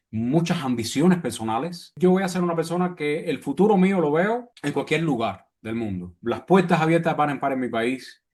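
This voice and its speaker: A man's voice: a little high, a little mellow. A man in his late forties with a Cuban accent, speaking earnestly and expansively as he answers as an interview guest. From Cuba. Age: late 40s. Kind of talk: reflective conversational interview answers.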